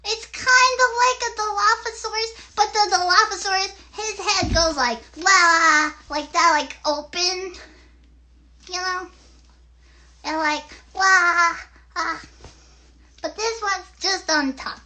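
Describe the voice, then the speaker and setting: A very high, bright voice of an excitable little girl puppet. She chats to young viewers in an unhurried, halting way, losing her thread and starting over like a small child hosting a live puppet show. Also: full character voice. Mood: chatty, explaining. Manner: childlike, halting, self-interrupting chatter. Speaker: puppet host.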